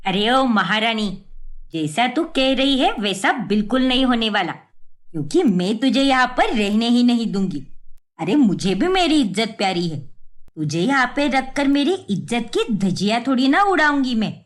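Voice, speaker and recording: A woman's voice: fairly high pitch, lively intonation, normal speed, natural voice. Woman voicing a scolding, blunt middle-aged mother. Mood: indignant, stern. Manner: exasperated, lecturing.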